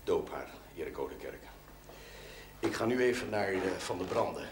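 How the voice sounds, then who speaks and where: Parish priest: teasing authority, animated pitch, naturalistic TV drama, roomy sound. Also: male voice, moderate pace. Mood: businesslike. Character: parish priest, bantering but authoritative senior clergyman. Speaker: actor in a television drama. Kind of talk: naturalistic television drama dialogue. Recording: roomy, noisy old television soundtrack.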